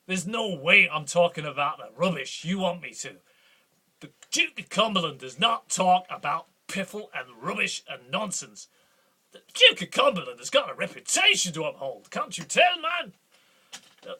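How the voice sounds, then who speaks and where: A man playing a boastful, belligerent duke in a rambling, bombastic comic monologue, with a husky voice. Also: high-pitched, sharp tone, exaggerated voice. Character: boastful blustering male Scottish duke, belligerent. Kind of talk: improvised comic character monologue.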